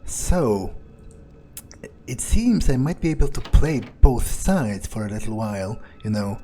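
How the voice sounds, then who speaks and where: A man doing in-character commentary for a video game playthrough, speaking in a hesitant, low-key, musing way. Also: big pitch swings, unhurried, warm tone. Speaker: gaming video creator role-playing the player character. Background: game music.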